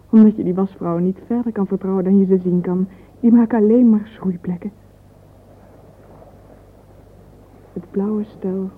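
Young female romantic played by an actress, radio play monologue; vain, yearning, breathy confiding delivery, medium pitch, narrow phone-like sound with faint hiss. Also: natural voice. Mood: dreamy pride. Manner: intimate, wistful, often breathy and half-whispered. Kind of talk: radio drama interior monologue.

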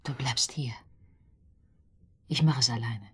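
An actress playing a cold, resolute wife plotting murder in a German radio drama, intense and decisive, with a breathy, husky voice that often drops to a whisper. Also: female voice, a bass voice, slow pace, slight background noise. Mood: grimly determined.

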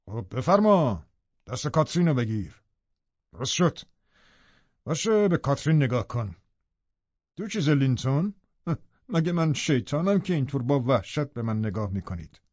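A man's voice: medium pitch, animated, pauses often, darkish tone, no echo, muffled recording, natural voice. An actor playing a domineering, sneering villainous father in an audiobook, commanding and sardonic with barely contained anger. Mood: impatient, sardonic. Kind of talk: audiobook drama acting.

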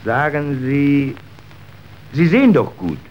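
An actor playing a callous, smooth-talking factory foreman in a radio drama, coaxing and persuasive. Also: fairly high pitch, big pitch swings, slow pace. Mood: falsely reassuring. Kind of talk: scripted radio drama acting. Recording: dull, faintly hissy old radio recording.

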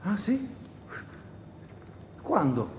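A man's voice: sits high, big pitch swings, drawn-out words, darkish tone, voice pushed up, full character voice. Man playing a curious young seamstress, echoey hall. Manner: brief, simple questions and replies. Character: young seamstress woman, kindly, curious and matter-of-fact. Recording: echoey theatre hall with faint noise.

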